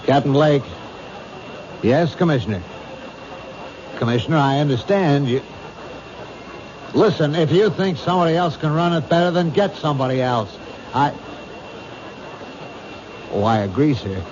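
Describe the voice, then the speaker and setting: An actor playing a world-weary police captain in a 1970s radio drama, brusque, cynical and matter-of-fact. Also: male voice, a little high, lively intonation, moderate pace, long pauses, natural voice. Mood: exasperated. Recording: muffled, noisy old radio broadcast.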